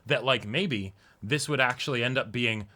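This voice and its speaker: A man's voice: mid-range voice, normal speed, bright, forceful tone, slight background noise. A man co-hosting a casual anime discussion, talking informally and rephrasing as he goes. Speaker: co-host of an anime reaction show.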